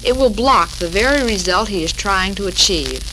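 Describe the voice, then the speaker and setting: 1940s American radio hostess, crackly recording. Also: female voice, mid-range voice, expressive, normal speed. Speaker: radio show host. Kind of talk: 1940s women's radio talk, scripted.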